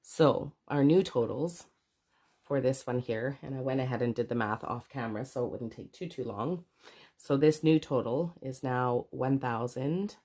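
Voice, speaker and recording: Casting: middle-aged woman, very low voice, nasal and congested from a cold, chatty vlogger who keeps correcting herself. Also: warm tone. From Canada. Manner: chatty, rambling, self-correcting asides. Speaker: budgeting vlogger. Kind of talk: casual chat to camera.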